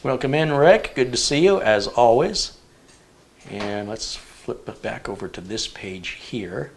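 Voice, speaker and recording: A man hosting a live stream, chatting casually and thinking aloud with relaxed fillers. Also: mid-range voice, measured pace, vocal fry, slight background noise. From United States. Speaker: show host.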